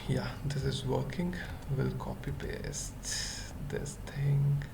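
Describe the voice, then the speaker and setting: A person thinking aloud through an unscripted coding tutorial, murmuring short halting fragments with long pauses. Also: mid-range voice, creaky, whispered. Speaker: web developer giving a tutorial. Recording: noisy room with keyboard typing. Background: computer keyboard typing.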